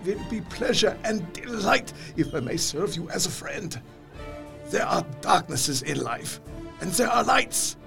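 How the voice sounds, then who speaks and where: An actor playing an effusive, courtly older foreign doctor in a gothic audio drama, with florid, earnest phrasing. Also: male voice, sits high, expressive, unhurried, creaky, music in the background, full character voice. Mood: delighted, effusive.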